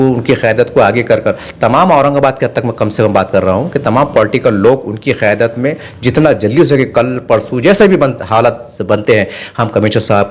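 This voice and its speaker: A man's voice: medium pitch, a little hiss or hum. A man asking long, insistent, discursive questions as a news interviewer.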